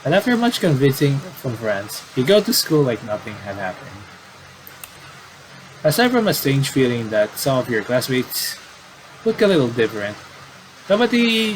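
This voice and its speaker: A man doing casual game commentary and reading aloud, in a halting, rambling way with repeated words. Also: medium pitch, big pitch swings, noisy background.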